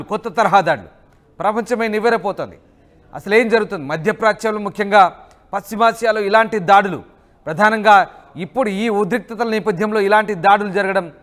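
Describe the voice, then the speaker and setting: A man's voice: sits high, fairly bright tone. A man. He comments over footage with urgency and drama, leaning on repeated rhetorical questions. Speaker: news anchor.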